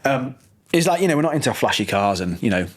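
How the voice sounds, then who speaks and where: A man's voice: medium pitch, big pitch swings. A man in his thirties, co-hosting a casual business podcast with chatty banter and lots of fillers. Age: 30s. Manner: quick, chatty, full of fillers and restarts. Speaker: podcast co-host.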